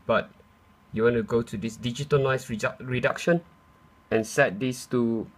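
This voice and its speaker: A man's voice: mid-range voice, fairly even intonation, normal speed, a little hiss or hum. A man giving a casual, unscripted tech demonstration, explaining step by step in a slightly hesitant way. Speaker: tutorial presenter. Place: indoors in a room at home. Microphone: handheld camera's built-in mic.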